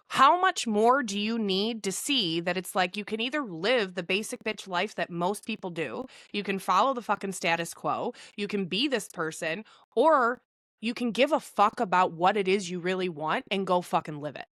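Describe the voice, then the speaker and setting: A woman hosting a podcast, talking in a loud, excitable, swear-heavy way and hyping up her guest. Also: medium pitch, normal speed, no room sound, clean studio sound. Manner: profane, excitable, emphatic. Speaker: podcast host. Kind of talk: casual podcast chat.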